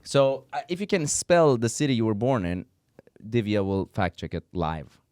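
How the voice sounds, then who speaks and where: A man hosting a casual interview podcast, teasing his guest with quick banter. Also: lowish voice, sing-song, darkish tone, no echo, studio quality. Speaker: podcast host.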